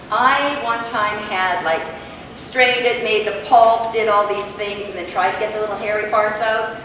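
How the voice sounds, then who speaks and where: An older woman from Canada giving a loud, chatty workshop talk to an audience, with many fillers. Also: mid-range voice. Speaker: workshop presenter. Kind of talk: casual hands-on talk to an audience. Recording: echoey hall, voice far from mic, background noise.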